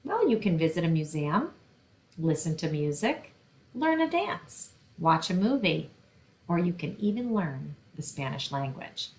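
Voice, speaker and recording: Woman, slightly low voice, children's librarian, simple instructive phrasing, picture-book read-aloud. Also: big pitch swings, a little slow, slight background noise.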